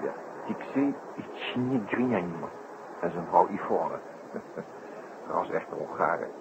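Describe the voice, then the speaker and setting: A man's voice: low voice, lively intonation, takes it slowly, exaggerated voice. A man playing a wry detective who speaks a little hesitantly in a radio drama. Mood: wry, inquisitive. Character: dry, wry male detective. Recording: muffled old radio recording, loud noise.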